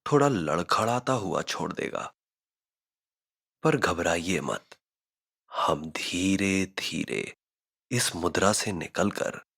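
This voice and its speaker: A man narrating a scripted guided meditation, giving measured instructions with frequent pauses. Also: deep voice, vocal fry, pushed and bright, husky.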